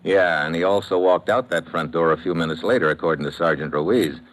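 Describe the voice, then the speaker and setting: An actor playing a terse, wisecracking American investigator, delivering tough noir narration and dialogue for radio. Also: male voice, sits low, fairly quick, natural voice. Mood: brisk, probing. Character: hard-boiled, wisecracking American investigator hero. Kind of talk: first-person noir narration and radio acting. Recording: noisy old-time radio recording.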